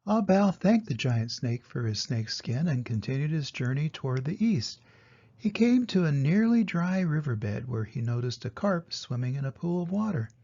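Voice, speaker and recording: A man's voice: on the high side, lively intonation, normal speed, vocal fry, dark tone, lo-fi sound. An older man reading a children's storybook aloud as a scripted narration.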